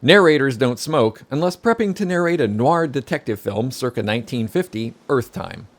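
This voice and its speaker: Man playing a fussy narrator, expressive swooping pitch. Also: moderate pace, close to an everyday voice. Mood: wry, probing. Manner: articulate, pedantic, wry. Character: fussy, pompous male professional narrator held captive. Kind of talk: scripted audio comedy drama.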